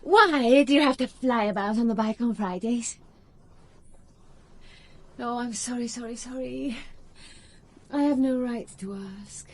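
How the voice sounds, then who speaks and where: Young woman for radio play: slow, husky-breathy delivery, candid, eager, quick to bristle. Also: a little high. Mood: delighted. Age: young adult. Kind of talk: radio drama acting, partly narrating.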